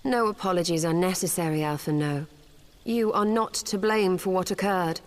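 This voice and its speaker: Actress as low-voiced, composed scholarly sorceress. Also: female voice, close to an everyday voice. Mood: reassuring. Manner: formal, archaic, measured speech. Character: composed, scholarly adult female mage, gracious and formal. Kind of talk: fantasy video game voice acting.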